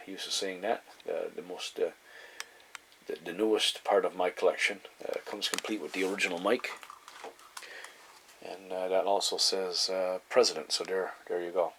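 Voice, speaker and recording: A man giving a casual, unscripted show-and-tell in a halting, meandering way, often repeating himself. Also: deep voice. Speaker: hobbyist collector presenting his radio. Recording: clear background noise, room ambience.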